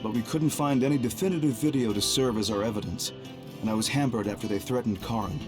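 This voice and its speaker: A man playing a composed, calculating young double agent in a video game, speaking in a measured, formal, grave way. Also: mid-range voice, music in the background, lightly acted. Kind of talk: anime-style video game cutscene acting.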